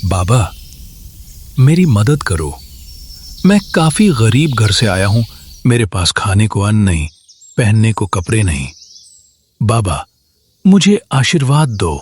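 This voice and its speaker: A man's voice: fairly low pitch, very animated, slow pace, pauses often, darkish tone, a little hiss or hum. A man playing a greedy, scheming villager who puts on whiny pleas of poverty in a children's cartoon. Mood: pleading. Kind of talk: Hindi cartoon moral-tale voice acting.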